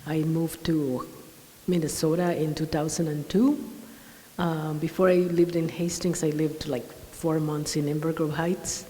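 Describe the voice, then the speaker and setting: Low, mellow-voiced woman from Mexico. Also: level tone, a little slow, a little hiss or hum. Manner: hesitant, with frequent ums. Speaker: panelist, business owner. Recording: long hall reverb.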